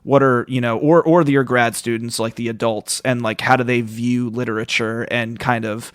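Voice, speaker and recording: A man in his thirties hosting a casual literary interview, asking long, halting questions that circle around. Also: mid-range voice, steady tone, clean tone, dry recording, studio quality. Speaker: podcast host, interviewer.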